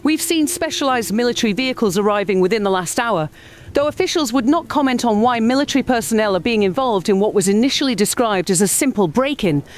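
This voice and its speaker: A woman's voice: fairly high pitch, animated, fast, close to an everyday voice. A woman acting as a serious news reporter in an audio drama. She delivers a scripted field report with formal, precise and controlled phrasing. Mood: grave, controlled.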